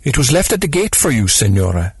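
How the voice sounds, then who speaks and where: A man voicing a deferential middle-aged gardener with a Mexican accent, husky and gravelly. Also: medium pitch, big pitch swings, brisk, no room sound, voice pushed up, exaggerated voice.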